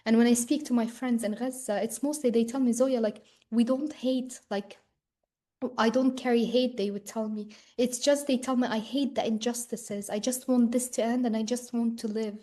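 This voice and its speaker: A woman's voice: a little high, fairly even intonation, moderate pace, mellow. Earnest young woman guest speaker. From Ukraine. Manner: earnest, frequent "like" fillers. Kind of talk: earnest personal testimony.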